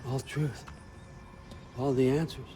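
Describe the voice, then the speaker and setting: A man acting as a sly old god from a cinematic video game, sitting at a middling pitch for a man. He talks in a casual, folksy way, coaxing and wry, like a patriarch with a scheme. Loud music plays behind him. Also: level tone, slow, natural voice. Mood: persuasive, musing. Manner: casual, persuasive, wryly conversational.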